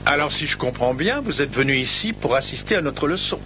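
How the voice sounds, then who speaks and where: A man voicing a wise, gentle older music teacher who speaks in a calm, instructive way. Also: medium pitch, brisk, background noise, lightly acted. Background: background music score.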